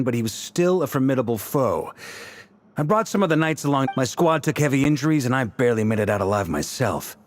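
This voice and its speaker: Animated easygoing veteran knight played by a man. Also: mid-range voice, a little hiss or hum, lightly acted. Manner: casual, self-deprecating. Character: easygoing seasoned knight grand master, hearty and wry. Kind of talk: video game cutscene acting. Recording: game music behind the voice.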